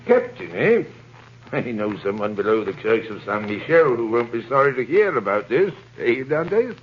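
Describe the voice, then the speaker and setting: A man's voice: mid-range voice, very animated, moderate pace, close to an everyday voice. An actor playing a sly, insinuating tailor who needles his young neighbour, in 1930s radio drama style. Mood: sly teasing. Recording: muffled, noisy 1930s radio broadcast.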